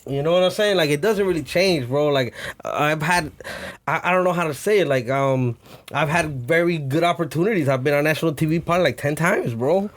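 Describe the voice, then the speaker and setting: A man's voice: a little high, a little fast. A young man whose first language is Spanish, a musician guest talking animatedly in slangy casual speech in a podcast studio. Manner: animated, slangy, frequent "you know what I'm saying". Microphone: studio boom mic with headphones.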